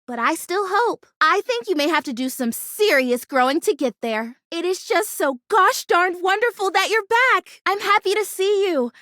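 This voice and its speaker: A woman voicing a sweet, plucky, excitable talking houseplant in a video game, with earnest, gushing delivery and quaint folksy exclamations. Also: very high-pitched, moderate pace, hardly any pauses, no echo, studio quality, full character voice. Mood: friendly, cheerful. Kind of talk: video game character acting.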